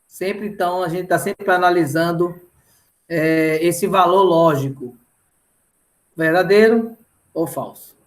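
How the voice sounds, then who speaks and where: A man's voice: high-pitched, takes it slowly, long pauses. A middle-aged Brazilian man lecturing didactically in an online lesson, often checking in with short tag questions. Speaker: mathematics teacher.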